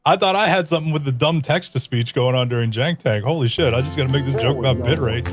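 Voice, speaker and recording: A man's voice: mid-range voice, fast talker, no room sound, soft background music. A man co-hosting a live stream, joking around, laughing often and doing silly voices. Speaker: streamer co-host. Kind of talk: casual stream chat over gameplay.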